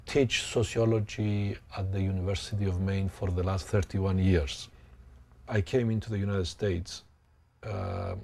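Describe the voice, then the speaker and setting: A man, a professor thoughtfully recounting his life in an interview, pausing to find words. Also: fairly low pitch, darkish tone. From Greek background. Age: 60s. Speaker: sociology professor. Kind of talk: interview, reflective personal account.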